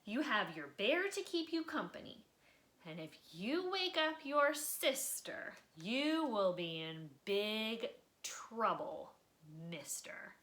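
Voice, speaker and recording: A woman's voice: on the high side, very animated, takes it slowly, fairly bright tone, heavily acted. A woman voicing fed-up parents in a children's storybook, giving a small child emphatic, stern warnings. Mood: exasperated, stern.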